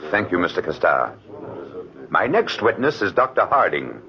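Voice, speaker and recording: An actor playing an earnest, formal defense lawyer in an old radio drama, husky and oratorical. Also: male voice, sing-song. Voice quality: low, husky. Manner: oratorical, deliberate. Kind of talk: 1940s radio acting. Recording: muffled old radio recording.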